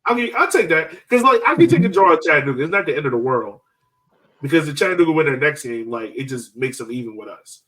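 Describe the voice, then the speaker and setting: A man's voice: a little high, lively intonation, fast talker, a bit bright, low-quality recording. A man hosting a sports podcast in an informal, conversational style, thinking aloud as he goes. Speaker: soccer podcast host. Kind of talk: casual sports chat.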